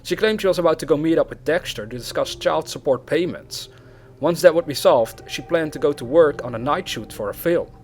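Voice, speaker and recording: A man's voice: a little high, expressive, brisk. A man narrating true crime as host of the series, reading aloud in a storytelling format. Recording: music behind the voice. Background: background music.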